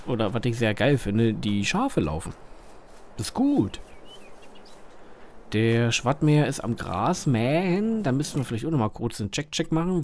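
A man's voice: medium pitch, darkish tone, a little hiss or hum. A man with a Berlin dialect, casually commenting on a farming game as the channel's host, rambling and thinking aloud with lots of fillers.

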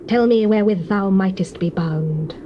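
A woman's voice: medium pitch, moderate pace, muffled recording, close to an everyday voice. A woman playing a scheming, seductive, persistent betrayer, coaxing and theatrical, in a dramatized Bible reading. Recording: faintly noisy old cassette recording.

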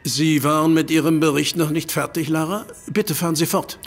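An actor playing a curt, dismissive older professor who heads an institute, in a radio play. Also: male voice, on the high side, fairly even intonation, normal speed, soft background music. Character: older male scientist, calm institute head.

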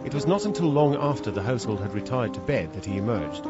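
Guy acting proper young english gent in a radio drama, very animated pitch, dull sound. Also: fast, close to an everyday voice. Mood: measured storytelling. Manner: formal, polite, earnest. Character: earnest, proper young English gentleman, politely indignant. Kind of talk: radio drama narration and dialogue. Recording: dull radio recording under loud music.

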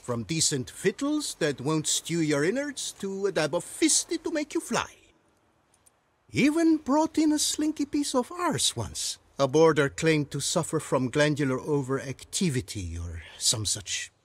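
An actor voicing a roguish, swaggering prison inmate in a video game, theatrical and chatty. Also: male voice, on the high side, sing-song, a little slow, breathy edge, dry recording, heavily acted. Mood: boastful, sly. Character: cocky, roguish male jailbird, self-styled prison king.

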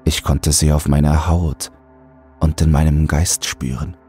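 A man narrating a scripted horror story in a measured, deliberate, suspenseful manner. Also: a bass voice, level tone. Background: soft music.

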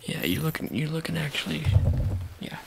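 A man in his thirties doing an ASMR surgeon roleplay, talking softly and offhandedly, often slipping into whispers, close to the mic in a small dark room. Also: fairly high pitch, sing-song, normal speed, creaky, background noise. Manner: soft, rambling and offhand, drifting into whispers. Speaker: ASMR creator playing a surgeon. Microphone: close-up camera held near the face.

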